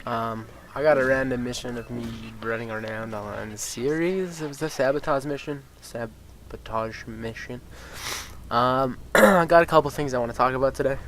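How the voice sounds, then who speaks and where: A man's voice: mid-range voice, normal speed, slight background noise. A man with a stuffy, sniffly nose, chatting casually over gameplay, rambling with lots of ums and getting worked up about game balance. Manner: rambling, with many ums and uhs, and swears freely; gets excited and incredulous about game balance. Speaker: gaming YouTuber.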